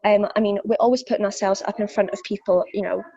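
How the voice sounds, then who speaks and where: A woman's voice: medium pitch, fairly even intonation, quick pace, mellow, breathy edge, no echo, dull audio. A young woman from South Wales with a Welsh accent, answering interview questions as a fitness instructor, thoughtful and full of fillers and restarts.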